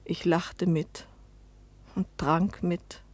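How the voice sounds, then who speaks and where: A woman's voice: on the low side, fairly even intonation, drawn-out words, darkish tone. An actress playing a poor fishing-town woman who recounts her hard life in a restrained literary radio play narration. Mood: resigned, reflective.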